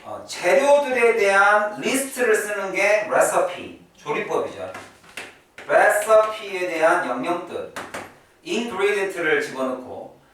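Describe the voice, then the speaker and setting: A man's voice: sits high, very animated, takes it slowly, a bit bright. A man teaching English grammar in a lecture style, emphatically repeating key terms and asking questions he answers himself. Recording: echoey room, distant mic, faint noise.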